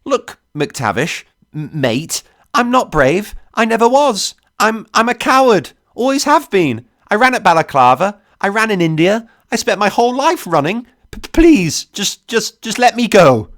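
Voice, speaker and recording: A man voicing a cowardly British gentleman soldier who stammers and pleads with a cracking voice in an audiobook story. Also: high-pitched, sing-song, unhurried, hardly any pauses, pitched above the performer's own voice, exaggerated voice. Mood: abject pleading.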